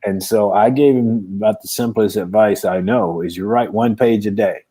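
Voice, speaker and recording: A man hosting a casual interview show, chatty and a little halting as he works through his questions. His voice is low and mellow with a husky edge. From Titusville. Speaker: host.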